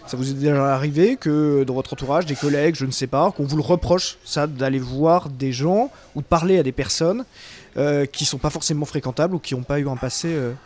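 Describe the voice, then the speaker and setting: A man's voice: on the high side, fairly quick, darkish tone. Polite, hesitant male interviewer. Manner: polite, hesitant questioning with fillers. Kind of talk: interview questions.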